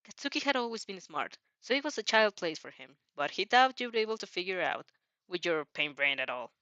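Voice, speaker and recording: A woman's voice: fairly low pitch, big pitch swings, a little fast, sharp tone, dry recording, dull audio. A woman informally narrating fan fiction, giggly, often stumbling and rereading phrases as she goes. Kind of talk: informal story narration with reactions.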